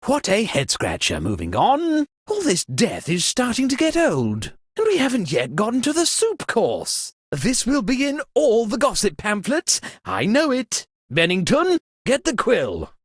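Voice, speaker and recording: A theatrical gentleman of wealth and titles, pompous and easily aghast. His pitch leaps wildly between droll murmurs and exclamations, with a husky edge, recorded very dry, like a comedic game character. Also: wildly swooping pitch, measured pace, dry recording, full character voice. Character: pompous, dramatic landed-gentry party host, theatrically aghast. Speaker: game character hosting a murder mystery party.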